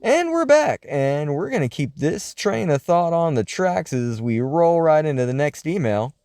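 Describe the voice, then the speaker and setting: Male host, slightly high pitch, mellow tone, very lively intonation, wry theatrical musing, scripted radio-show narration. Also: measured pace, faint music behind. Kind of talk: scripted radio-show style narration, reading listener mail.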